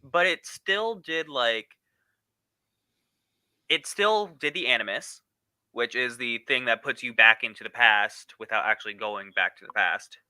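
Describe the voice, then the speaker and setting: He has a very bright, crisp male voice recorded quite dry. He explains his point casually as a panelist. The delivery is halting and wanders off before circling back. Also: medium pitch, no echo. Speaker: podcast panelist.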